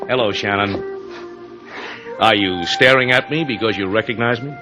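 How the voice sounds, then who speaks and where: An actor playing a self-assured gangster boss, controlled and sinister, in a 1950s radio drama. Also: male voice, on the low side, moderate pace, close to an everyday voice, low-quality recording. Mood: smug menace. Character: cold, self-assured gangster boss, menacingly soft-spoken. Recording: vintage radio recording with music.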